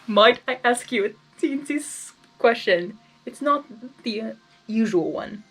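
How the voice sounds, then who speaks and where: A woman's voice: a little high, expressive, unhurried, soft background music. A woman voicing a gallant, smitten male chaos creature with tender, flowery speech in a storybook reading. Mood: hesitant, coaxing. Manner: tender, flowery, emphatic courting.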